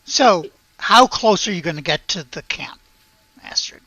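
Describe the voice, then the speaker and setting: A woman game master with a lowish, very bright voice talks players through a tabletop game. She narrates in a conversational, easygoing way. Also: lively intonation, a little slow, husky, dry recording, dull audio.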